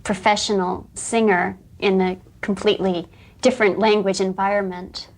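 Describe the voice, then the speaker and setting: A woman interviewing a guest. She asks clear questions and gives brief, attentive backchannels. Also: mid-range voice, flat delivery, normal speed. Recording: 1990s broadcast interview.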